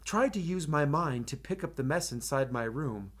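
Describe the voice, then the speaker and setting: A man's voice: a little high, lively intonation, normal speed, background noise, close to an everyday voice. A man voicing a ten-year-old former superhero boy, wistful but determined, in a rhyming picture-book read-aloud. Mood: puzzled, dejected.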